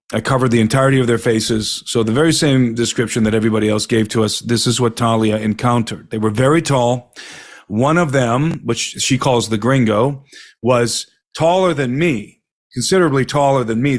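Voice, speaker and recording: A man's voice: medium pitch, normal speed. A man, an investigator, earnestly recounting a witness's account in detail, often repeating himself as he gathers his words. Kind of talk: recounting an interview.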